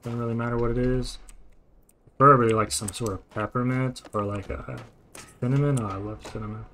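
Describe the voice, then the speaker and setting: A young man casually commenting as he plays a video game, musing quietly to himself. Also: steady tone, fairly quick, slight background noise. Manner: mumbled, low-key musing to himself. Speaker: gaming streamer. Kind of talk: relaxed let's-play commentary.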